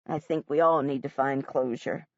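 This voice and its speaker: A woman voicing a gentle, sorrowful middle-aged male art teacher who speaks softly and slowly. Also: very deep voice, steady tone, no room sound, lo-fi sound, voice pushed down. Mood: gentle sad. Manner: soft, slow, sorrowful.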